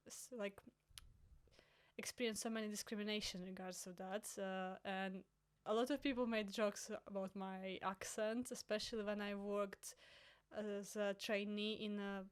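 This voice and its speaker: A woman from Latvia with a Russian accent, speaking as a guest in an earnest, halting way. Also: medium pitch, flat delivery, clear, resonant tone.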